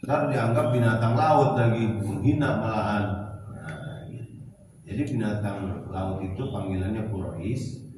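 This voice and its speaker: A man's voice: fairly low pitch, fairly even intonation, a little slow, darkish tone. A man with a Betawi accent giving an informal religious lesson, reading phrases from Arabic text and explaining them bit by bit, checking with his listeners. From Jakarta, Indonesia. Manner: didactic, repeating and glossing phrase by phrase, checking with listeners. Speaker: Islamic religious teacher. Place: small prayer hall during dawn study session. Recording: very echoey hall, distant mic, some noise.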